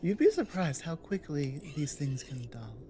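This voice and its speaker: A woman playing a big, earnest, protective orc warrior in an intimate ASMR audio drama, speaking low in a halting, thoughtful way. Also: huge pitch swings, mellow, a little hiss or hum, heavily acted. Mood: matter-of-fact. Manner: halting, deliberate, earnest delivery with pauses.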